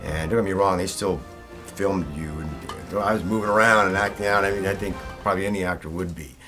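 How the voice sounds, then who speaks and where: A man's voice: deep voice, brisk, loud music behind the voice. A man speaking casually as a voice actor in a promotional interview.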